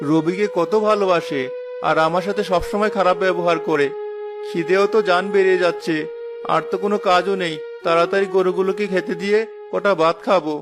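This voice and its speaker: Meek, high-pitched orphan boy played by a man. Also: fairly even intonation, fairly quick, over music, close to an everyday voice. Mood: plaintive. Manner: plaintive, obedient. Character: meek, overworked, hungry orphan village boy. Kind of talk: Bengali cartoon voice acting.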